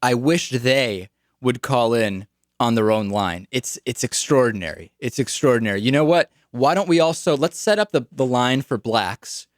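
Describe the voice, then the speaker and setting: A man's voice: medium pitch, animated, moderate pace, dry recording, clean studio sound. A man with an American accent giving political commentary as a radio talk show host.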